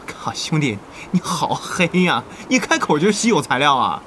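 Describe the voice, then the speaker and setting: A man's voice: on the high side, sing-song, moderate pace, bright, forceful tone, slight background noise. An actor playing a young male online gamer in an audio drama, chummy and bargaining, easily exasperated and full of interjections. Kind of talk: Chinese audio drama acting.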